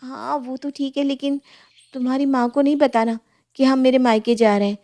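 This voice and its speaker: A woman voicing an eager, sweet young newlywed daughter-in-law in a story, excitable and coaxing. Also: high voice, moderate pace, voice pushed up.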